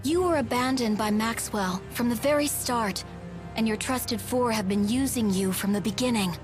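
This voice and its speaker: A haughty female spirit from a fantasy game acted by a woman, with a high voice over prominent music. She speaks in formal, imperious, carefully enunciated phrases, fiercely bound to duty. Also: moderate pace, natural voice. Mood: cold, scornful. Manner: formal, imperious, precise diction. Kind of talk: dramatic video game voice acting.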